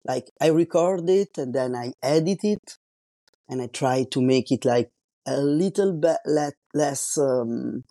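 A man in his forties from Italy, with an Italian accent, hosting a solo podcast in English, his second language, slowly and carefully hunting for words. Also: mid-range voice, dark tone, no echo, studio quality. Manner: slow, careful, searching for words. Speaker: podcast host.

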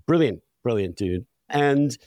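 Animated man in conversation, dry sound. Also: mid-range voice, a little slow, no echo. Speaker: podcast host. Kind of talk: conversational podcast discussion.

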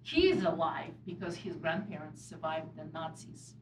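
A woman's voice: low voice, fairly even intonation, normal speed, slight background noise. A middle-aged woman with a Ukrainian accent speaking on a discussion panel, hesitant with many ums. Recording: distant mic in echoey room.

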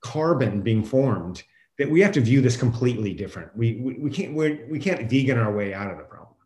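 A man's voice: mid-range voice, animated, brisk, a little mellow. Male expert in a roomy space. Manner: explanatory, emphatic, quotes imagined objectors. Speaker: guest professor and climate scientist. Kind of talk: unscripted interview discussion. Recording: roomy, echoing sound.

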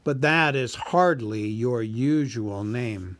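A man voicing a huge, cunning male dragon who talks in a slow, sneering, drawn-out way. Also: medium pitch, a bit bright, no room sound.

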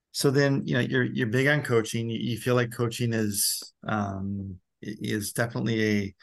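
A middle-aged man with a fairly low voice and a noticeable creak. He speaks as an interviewer in a casual conversation, feeling his way through thoughts in a reflective, tentative manner. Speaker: interviewer and school principal.

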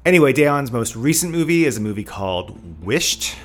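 A man's voice: mid-range voice, big pitch swings, moderate pace, faint music behind. A middle-aged man hosting a podcast, talking in an informal, conversational way. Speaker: podcast host, interviewer. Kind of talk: casual podcast introduction and chat.

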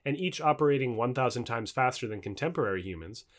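A man narrates a nonfiction audiobook in a medium-pitched voice that moves up and down noticeably. He reads from a script in measured, articulate phrases, leaning on key terms for emphasis. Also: expressive.

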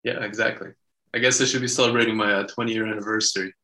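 Podcast guest, man, late 20s, Israeli-born, Russian first language; bright tone, roomy sound. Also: lowish voice, quick pace. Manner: relaxed storytelling with many fillers. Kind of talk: casual interview.

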